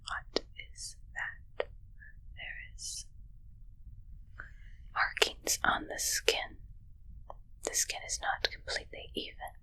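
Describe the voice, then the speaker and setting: A woman playing a puzzled, anxious spaceship medic in a whispered, breathy ASMR roleplay, with halting, literal, repetitive phrasing. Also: sharp tone, low-quality recording. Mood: puzzled, uneasy. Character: puzzled, anxious female ship medic examining for aliens.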